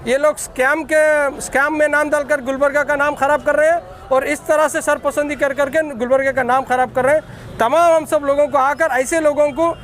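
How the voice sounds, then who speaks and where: A man's voice: very high-pitched, steady tone, normal speed, fairly bright tone, slight background noise. A man with a Deccani Urdu accent making an emphatic, accusatory, repetitive impromptu political statement to the press. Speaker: political activist.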